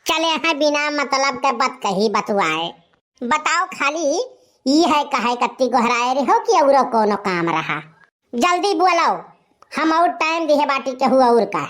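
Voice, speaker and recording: A woman's voice: sits high, big pitch swings, unhurried, a bit bright, exaggerated voice. A woman playing a sassy, impatient young village woman in a cartoon comedy, scolding brazenly with an Awadhi accent. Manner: scolding, brazen, repetitive catchphrases. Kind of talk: animated comedy dialogue.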